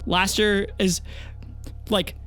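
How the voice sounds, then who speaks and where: A high-pitched man runs a tabletop game as its storyteller. His narration is dramatic yet informal, peppered with swearing. Soft music plays beneath him. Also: level tone, very slow. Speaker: game master. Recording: music under the voice.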